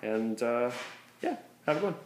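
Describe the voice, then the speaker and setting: A man's voice: medium pitch, sing-song, moderate pace, a little mellow, slight background noise. Hesitant man putting on imitated accents. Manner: talks through put-on imitated accents, hesitant with many fillers. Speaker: vlogger showing off accent imitations. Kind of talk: casual chat to camera. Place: room at his workplace. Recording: roomy echo.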